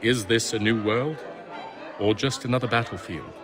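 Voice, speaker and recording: An actor playing a refined, earnest and slightly snobbish gentleman in a game cutscene, formal and thoughtful. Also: mid-range voice, normal speed, music in the background, a crowd in the background, lightly acted. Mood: doubtful. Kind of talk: dramatic game cutscene acting.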